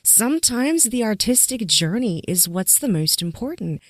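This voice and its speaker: A woman's voice: medium pitch, big pitch swings, moderate pace, no echo. Encouraging woman podcast host, dry sound. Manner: warm, encouraging and enthusiastic. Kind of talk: casual interview chat.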